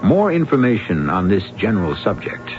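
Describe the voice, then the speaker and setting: A man's voice: on the low side, big pitch swings, moderate pace, noisy background. A man hosting and narrating a radio mystery in a theatrical, portentous and wry scripted style. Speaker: host and narrator. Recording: dull old radio recording. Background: music.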